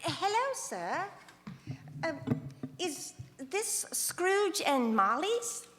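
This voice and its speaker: An actress playing a polite, hesitant, flustered charity collector in live radio theater, formal and courteous. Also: female voice, extremely high pitch, very animated, extremely slow, fairly bright tone, heavily acted. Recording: reverberant hall, faint noise.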